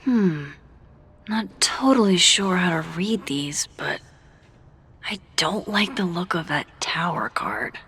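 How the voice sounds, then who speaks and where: A woman's voice: fairly low pitch, animated, slow, fairly bright tone, breathy edge, heavily acted. An actress playing a dry-witted, introspective young woman in a naturalistic video game, speaking in an understated, wry, hesitant way. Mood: musing, curious.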